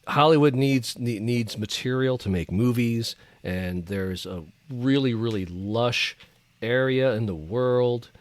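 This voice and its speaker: A man's voice: medium pitch, slow, dry recording. A man from the American Midwest co-hosting a podcast in casual, conversational talk. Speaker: podcast co-host. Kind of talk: casual chat about entertainment news.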